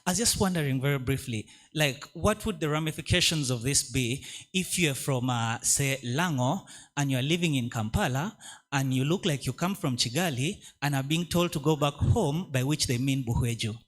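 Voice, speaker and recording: A man's voice: mid-range voice, normal speed, a bit bright. A man in the audience asking a brief question in a courteous, formal and hesitant way.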